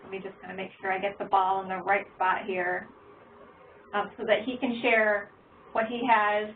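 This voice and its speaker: A woman's voice: medium pitch, level tone, normal speed. A woman facilitating an online workshop in a conversational way, with many fillers. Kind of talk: online professional development session. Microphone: video call. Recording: tinny, phone-like call audio with faint noise.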